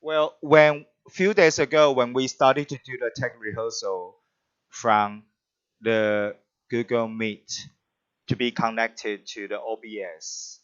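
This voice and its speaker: A man hosts an online performance event over a video call. He speaks at a slow, deliberate pace and often restarts his sentences. His voice sounds dull and muffled, with a lo-fi quality. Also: medium pitch, fairly even intonation, clean tone. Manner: halting, with frequent restarts and fillers. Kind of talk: live-stream hosting, introducing guests.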